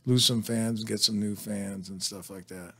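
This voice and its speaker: Middle-aged punk band guest, easygoing, blunt, swears offhand. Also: male voice, fairly low pitch, steady tone, normal speed, sharp tone, slightly rough. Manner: laid-back, blunt, swears casually. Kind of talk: casual profane radio chat.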